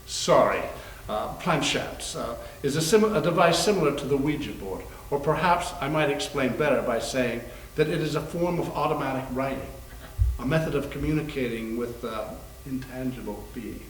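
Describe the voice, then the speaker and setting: A man's voice: mid-range voice, lively intonation, moderate pace, close to an everyday voice. Man playing a flustered doctor with swooping intonation. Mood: uneasy, explaining. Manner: hesitant, fussy. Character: flustered, courteous academic doctor, henpecked husband. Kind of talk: readers theater acting and narration. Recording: echoey room, faint background noise.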